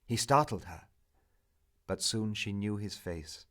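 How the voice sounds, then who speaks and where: A man narrating a poem in a theatrical, measured manner, swelling for emphasis and hushing at line endings. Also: on the low side, animated. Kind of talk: dramatic narrative poetry recital.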